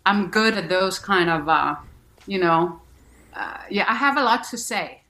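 A woman from Denmark speaks in a somewhat low voice, answering an interviewer frankly. She restarts often and leans on "you know" as she talks. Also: expressive, a little slow, sharp tone, low-quality recording. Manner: candid, many restarts and "you know" fillers. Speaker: actress and musician guest.

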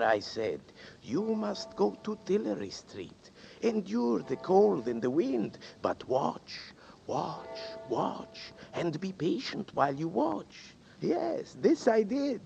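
A sly, oily little schemer swoops wildly up and down as he purrs his formal, mocking phrases. His voice is gravelly and sits a bit high. It comes through as a dull old radio broadcast with faint hiss. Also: mellow, exaggerated voice. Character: small sly male schemer, oily and amused. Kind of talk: 1940s radio acting.

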